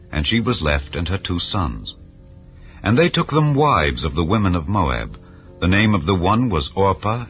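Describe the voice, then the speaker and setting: Deep-voiced man narrating scripture. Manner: solemn, measured storytelling. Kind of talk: scripted dramatized Bible reading. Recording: slightly dull, soft music behind.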